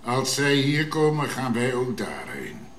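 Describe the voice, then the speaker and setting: A commanding king in a radio drama, grave and measured. His low-set voice moves widely in pitch and takes its time. It sounds roomy. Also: huge pitch swings, fairly bright tone, exaggerated voice. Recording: roomy sound with faint noise.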